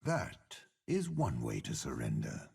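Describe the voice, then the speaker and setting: An actor playing a stern, scheming old general in a video game, with a gravelly, raspy voice and a commanding, deliberate, sardonic delivery. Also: male voice, rumbling low, huge pitch swings, darkish tone, heavily acted. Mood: cold, grim. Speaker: game character. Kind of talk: dramatic game voice lines.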